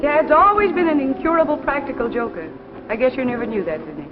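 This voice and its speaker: Actress playing wry grown daughter, high female voice, teasing, 1950s radio drama, muffled reverberant recording. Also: fast talker, music in the background, close to an everyday voice. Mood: exasperated. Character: professor's grown daughter, wry, gracious, exasperated young woman. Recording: dull reverberant old radio, faint noise.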